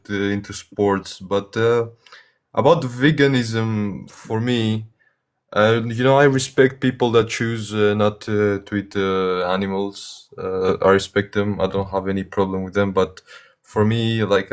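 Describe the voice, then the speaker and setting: An eighteen-year-old man, not an English speaker, calling in to a debate and speaking haltingly with many uhs. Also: lowish voice, level tone. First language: Greek. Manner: halting, hesitant, many uhs, apologetic about his English. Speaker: caller. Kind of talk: casual call-in debate.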